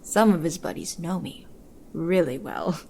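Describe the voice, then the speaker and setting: A woman's voice: fairly low pitch, measured pace, gravelly, dark tone. A woman acting as a coy, flirtatious friend of an ice fisherman in a comic audio drama, with suggestive delivery.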